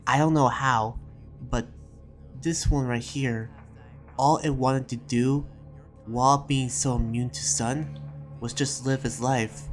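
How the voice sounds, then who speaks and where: A man's voice: mid-range voice, steady tone, takes it slowly, long pauses, natural voice. Man playing an earnest, reflective demon slayer over music. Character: earnest demon slayer researcher writing in his journal. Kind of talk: dramatic roleplay monologue, read as a journal. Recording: music behind the voice.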